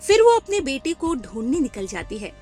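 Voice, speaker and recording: Lively woman narrating a cartoon tale. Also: sits high, normal speed, soft background music. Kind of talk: scripted cartoon story narration.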